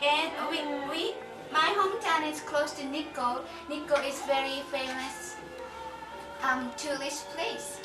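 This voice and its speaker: A woman's voice: sits high, steady tone, unhurried. A young woman from Japan with a Japanese accent, giving shy, short answers in simple English. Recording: echoey camcorder sound from far off, loud music behind.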